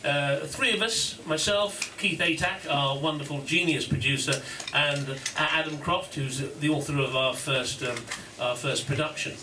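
A man giving a halting, self-deprecating speech at a studio launch. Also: a little high. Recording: distant, echoing, clear noise.

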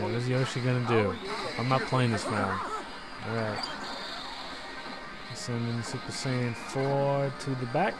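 A man's voice: medium pitch, rough recording. A man casually narrating a friend's arcade game, chatty and offhand, still getting over a cold. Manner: chatty, offhand, lots of fillers. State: recovering from a cold. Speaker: gameplay narrator. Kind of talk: casual arcade play-by-play commentary. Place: indoor toy store arcade. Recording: phone video over loud arcade music. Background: loud arcade game music.

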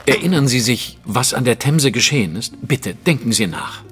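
An actor playing a shrewd, impatient gentleman detective in a German audio drama, speaking precisely and formally. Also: medium pitch, lively intonation, moderate pace, slightly rough, faint music behind. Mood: probing.

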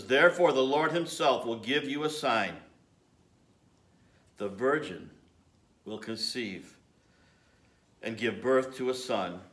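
A man's voice: mid-range voice, a bit bright. Earnest middle-aged man preaching. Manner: earnest, gestures with his hands while preaching. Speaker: lay preacher. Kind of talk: home video sermon. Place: home garage, indoors. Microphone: camera at desk distance.

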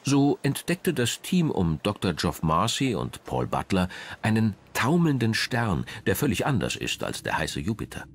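Low-pitched, lively male documentary narrator. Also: moderate pace, slight background noise.

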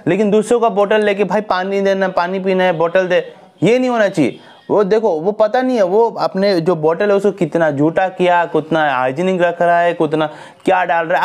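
Young male fitness vlogger, high voice, earnest and scolding, lapel mic in a small room. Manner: earnest, lively hand gestures, scolding advice. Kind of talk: casual talk to camera. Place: small indoor room with a decorated wall.

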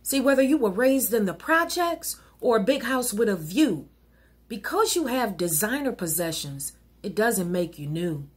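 A middle-aged American woman reciting rhyming spoken-word poetry like a minister, with a deliberate, emphatic, preacherly cadence. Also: mid-range voice, big pitch swings, slightly rough. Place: wood-panelled living room at home.

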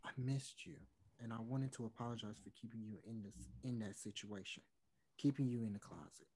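Earnest, pleading male pop singer played by a man for a stage-play reading. Medium pitch, hesitant, apologetic. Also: brisk, darkish tone, husky, poor audio. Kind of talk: online one-act play table read. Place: room at home, video call.

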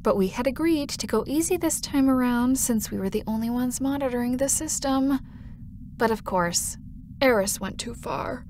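An actress playing a polite, dutiful station system monitor with a proper manner in an audio drama. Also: female voice, on the high side, moderate pace, a little mellow, a little hiss or hum, lightly acted.

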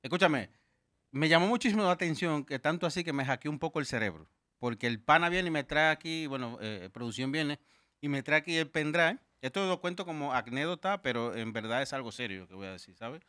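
A man's voice: a little high, lively intonation, a little fast, sharp tone, no room sound, clean studio sound. A man hosting a casual radio talk show, joking and bantering with his guests. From Dominican Republic. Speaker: host.

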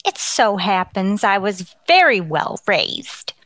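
A woman as a bright, huffy tavern gal. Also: medium pitch, sing-song, takes it slowly, no echo. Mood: huffy, haughty. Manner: haughty, indignant, snappy comebacks. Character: man-hungry, easily offended, sharp-tongued woman at a tavern. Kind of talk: 1940s radio comedy acting.

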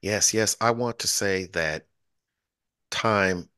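Deep-voiced, effusive male podcast host. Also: slow pace, bright, forceful tone, clear, resonant tone, dry recording, studio quality. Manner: effusive, grateful, repeats words. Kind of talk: video call interview. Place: home studio, indoors. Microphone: studio mic on a video call.

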